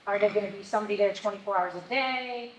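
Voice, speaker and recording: A woman's voice: medium pitch, quick pace. Woman pressing pointed questions. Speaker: resident questioning at public meeting. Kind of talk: public meeting questions. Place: public meeting hall. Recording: echoey meeting hall, faint noise.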